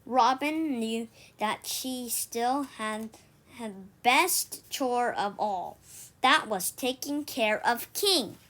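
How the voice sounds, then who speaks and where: A woman reads a children's story aloud in a fairly high voice. Her pace is very slow and halting. She often trips on a word and says it again before moving on. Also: expressive, a bit bright. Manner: halting delivery, stumbles and repeats words. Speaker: storybook reader for an online read-aloud.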